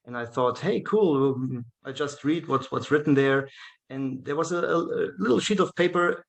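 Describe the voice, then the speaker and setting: A man with a German accent, speaking English as his second language in a relaxed interview. His voice sits in an ordinary middle pitch, and his talk comes in halting pieces, full of "um"s and restarts. He is heard over a video call. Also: creaky. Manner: hesitant, many fillers and restarts, laughs often. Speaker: guest, event organizer. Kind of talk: casual interview chat.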